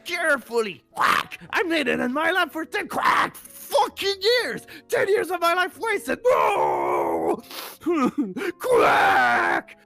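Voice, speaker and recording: A man's voice: very high voice, wildly swooping pitch, slow pace, pushed and bright, soft background music, voice pushed up, full character voice. A man voicing an indignant, villainous cartoon duck doctor with squawking, melodramatic outbursts. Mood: outraged.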